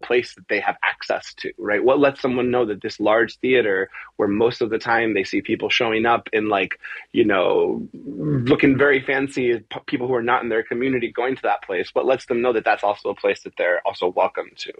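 A man's voice: medium pitch, fairly quick, fairly bright tone, slightly rough, no room sound, muffled recording. A middle-aged man from the US, born in Argentina, answering conversationally in an interview, often repeating words and checking in with "right?". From born in Argentina, grew up in the US from age four. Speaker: theater composer, music director and adapter. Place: small bare room, indoors, video call.

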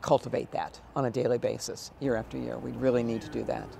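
A woman's voice: extremely low pitch, level tone, fast talker, creaky, dark tone. An American woman in her sixties answering interview questions in a conversational way as an event director. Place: large exhibition hall, indoors. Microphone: lapel mic. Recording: background noise of an event hall.

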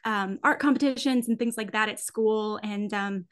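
An American woman in her thirties chatting casually and enthusiastically as an interview guest, in slangy, animated phrases. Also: medium pitch, normal speed. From United States (Kentucky, New York, California). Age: 30s. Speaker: podcast guest, design studio co-founder. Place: indoors, curtained room, on a video call. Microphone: desk microphone.

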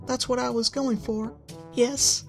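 A man voicing a shy, gentle female pegasus teacher who speaks softly and hesitantly. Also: very high-pitched, steady tone, a little slow, creaky, soft background music, voice pushed up, heavily acted. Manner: soft, hesitant, stammering.

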